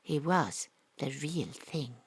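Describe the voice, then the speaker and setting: A woman's voice: sits low, slow. Wry Welsh woman narrating comic radio drama. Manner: wry, brash, deadpan. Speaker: narrator and lead actor. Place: home studio. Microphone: studio mic over a live internet link.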